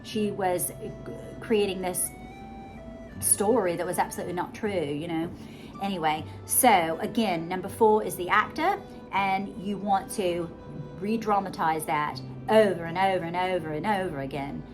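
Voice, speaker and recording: A woman's voice: fairly low pitch, moderate pace, over music, poor audio. A woman from the United States with an adopted British accent, speaking in a rambling, emphatic, conversational way as she gives a casual self-help monologue. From United States, born in Georgia. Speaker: vlogger, self-help coach.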